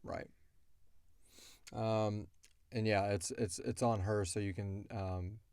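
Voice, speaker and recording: A man's voice: lowish voice, fairly even intonation, takes it slowly, darkish tone, smooth, no echo, studio quality. A man chatting casually as a podcast co-host, rambling and informal as he explains things. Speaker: podcast co-host and audio drama creator.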